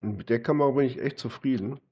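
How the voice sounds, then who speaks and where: Dark, mellow-voiced man in casual gaming chat. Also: medium pitch, fast talker, slightly rough. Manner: conversational, frequent tag questions. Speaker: gaming partner on a live stream.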